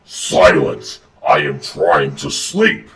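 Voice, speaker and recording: A woman voicing an angry, haughty male panther king with a growly, imperious shout. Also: rumbling low, wildly swooping pitch, takes it slowly, voice pushed down, full character voice.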